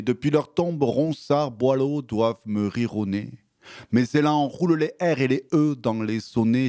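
A man with a southwestern French accent, a radio guest who speaks hesitantly in conversation and recites his own poem in a measured rhythm. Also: mid-range voice, a little mellow, no room sound, studio quality. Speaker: guest author.

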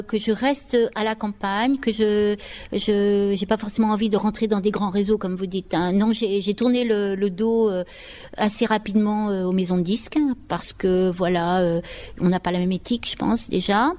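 A woman's voice: mid-range voice, darkish tone. A middle-aged woman from Paris, answering interview questions spontaneously and reflectively, often searching for her words. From Paris, France. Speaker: interview guest, children's songwriter.

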